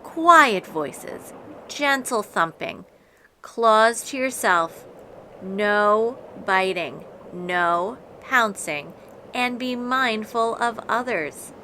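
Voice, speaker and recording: Woman as insistent, bright-voiced little animals. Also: mid-range voice, lively intonation, extremely slow, slight background noise, exaggerated voice, rough recording. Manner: insistent, emphatic chanting. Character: jostled small Arctic animals chanting house rules.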